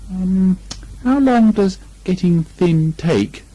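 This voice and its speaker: A man voicing a slow, earnest, rather gloomy male toy bear who ponders hesitantly in a children's story. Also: high voice, expressive, mellow, voice pushed up, full character voice. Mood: anxious, gloomy. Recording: muffled amateur 1980 home recording.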